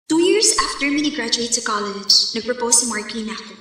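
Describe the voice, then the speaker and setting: A woman's voice: on the high side, expressive, fairly quick, sharp tone, breathy edge, dry recording, clean studio sound, heavily acted. A woman playing a hesitant, forgiving young woman in love in an emotive radio drama, with a Cebuano accent. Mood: content, reflective. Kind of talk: Philippine radio drama acting.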